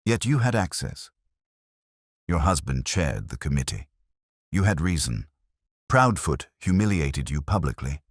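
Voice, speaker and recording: A man voicing a calm, steady male police inspector who asks probing questions quietly. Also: a bass voice, measured pace, long pauses, dry recording, studio quality, heavily acted.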